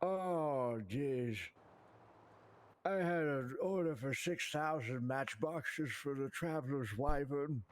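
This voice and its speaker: A man's voice: mid-range voice, slow, lightly acted. A man voicing a bumbling, self-important trucker in improvised game roleplay, with earnest, repetitive salesman patter. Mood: flustered. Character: bumbling, self-important male trucker hawking big business.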